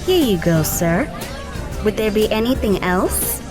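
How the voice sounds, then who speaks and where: A woman's voice: mid-range voice, big pitch swings, unhurried, crowd noise. A woman playing a polite flight attendant who sweetly deflects a pushy passenger. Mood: courteous. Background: music.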